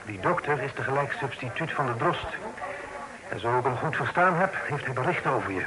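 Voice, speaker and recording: Man playing a measured gentleman merchant. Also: mid-range voice, animated, natural voice. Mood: concerned. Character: businesslike gentleman merchant, cautious and decisive. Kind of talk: old radio drama acting. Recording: noisy old radio play recording.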